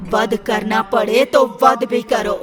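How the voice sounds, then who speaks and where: A woman acts out a vengeful young female ghost from an animated horror tale. She speaks very slowly and solemnly in a fairly high voice, with menace, over clearly audible music. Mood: solemn, urging. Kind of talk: dramatic horror story acting.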